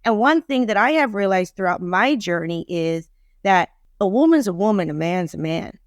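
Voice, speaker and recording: A woman's voice: mid-range voice, lively intonation, moderate pace, no room sound. Emphatic woman in her fifties, dry sound. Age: 50s. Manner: emphatic, repeats phrases for stress. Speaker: podcast guest, relationship expert. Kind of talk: casual, opinionated podcast conversation.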